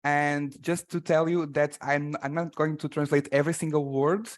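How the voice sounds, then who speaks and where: A man's voice: on the high side, level tone, normal speed. Portuguese man hosting a live call. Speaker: host and translator of an online class. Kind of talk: introducing a guest on a live call.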